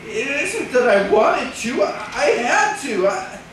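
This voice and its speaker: A desperate, stammering husband, echoey recording. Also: male voice, extremely high pitch, sing-song, unhurried, fairly bright tone, full character voice. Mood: defensive, pleading. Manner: stammering, agitated, melodramatic. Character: desperate guilt-ridden husband turned killer, pleading and bullying. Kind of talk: old-time radio drama acting. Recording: echoey distant old radio recording, hissy.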